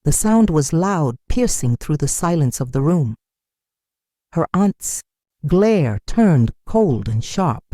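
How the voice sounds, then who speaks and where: Storyteller voice reading a dramatic tale, mellow n very expressive, measured delivery, dry sound. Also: medium pitch, dry recording. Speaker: storyteller, narrator. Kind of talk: scripted story narration.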